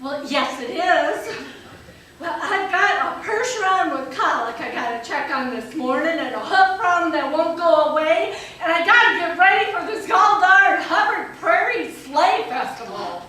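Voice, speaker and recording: An actress playing a hardworking, testy prairie horse doctor in a stage radio comedy. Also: female voice, extremely high pitch, animated, a little slow, sharp tone, full character voice. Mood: harried, defensive. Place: live radio theater stage. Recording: echoey theater, far mic, faint noise.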